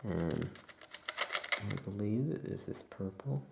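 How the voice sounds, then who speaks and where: A soft-spoken ASMR host murmuring thoughts aloud in halting short phrases, close to the microphone, while writing. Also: low-pitched, animated, creaky, heavy background noise. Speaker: ASMR host playing a witch shopkeeper.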